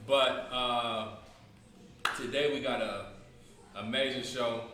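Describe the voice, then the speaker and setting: A man in his late twenties from San Francisco, hosting a casual podcast in a relaxed, slangy way with lots of fillers. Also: mid-range voice, slow. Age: 20s. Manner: laid-back slangy talk with many fillers. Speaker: podcast host. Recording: echoey room with noise.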